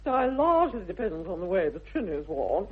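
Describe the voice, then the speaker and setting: An actress playing a haughty older aristocratic English aunt, grand and emphatic, in a 1960s radio comedy. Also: female voice, lowish voice, big pitch swings, a little fast, slightly rough. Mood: commanding. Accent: upper-class English. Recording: muffled, tinny vintage radio recording.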